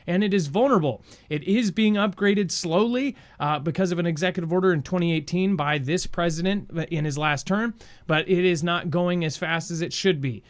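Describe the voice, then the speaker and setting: A man with a notably high voice hosts an independent-style news show. He delivers commentary in a conversational way, speaking informally and directly to the people watching. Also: normal speed. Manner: informal, addresses viewers directly.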